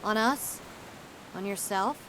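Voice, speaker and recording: An actress playing a strong-willed, idealistic scientist in an audio drama, firm and pointed, with a wounded edge. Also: female voice, mid-range voice, slow pace, background noise, natural voice. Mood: urgent, reproachful.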